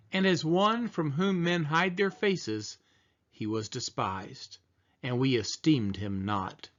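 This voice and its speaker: A man reading the Bible aloud solemnly, in measured, deliberate phrases with pauses. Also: fairly high pitch, fairly bright tone. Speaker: scripture reader. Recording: dull, lo-fi sound.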